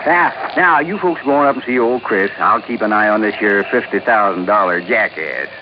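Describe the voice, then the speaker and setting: A man's voice: on the low side, expressive, close to an everyday voice. An actor playing a folksy, watchful Western lawman in an old-time radio drama. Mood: firm, easy. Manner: folksy, drawn-out phrasing. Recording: muffled, very noisy old radio recording.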